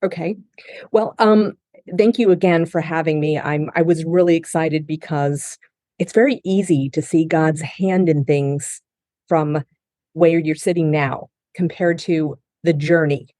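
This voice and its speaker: Earnest woman with a warm, mellow voice. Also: low-pitched, steady tone, measured pace, dry recording, studio quality. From Japan. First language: Japanese. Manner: earnest and heartfelt, with frequent restarts. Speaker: guest. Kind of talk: personal testimony in a podcast interview.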